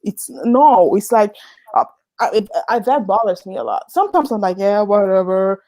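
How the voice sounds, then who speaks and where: A woman's voice: medium pitch, expressive, normal speed, warm tone, no room sound. A woman from the Dominican Republic, a guest in a heated casual debate, who talks with animation, laughs mid-sentence and restarts words.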